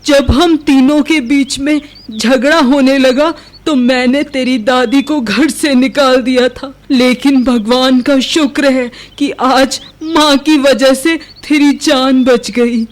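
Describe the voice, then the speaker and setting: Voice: high-pitched, steady tone, slow, hardly any pauses. A performer voicing a male father bird with earnest, melodramatic delivery in a cartoon story.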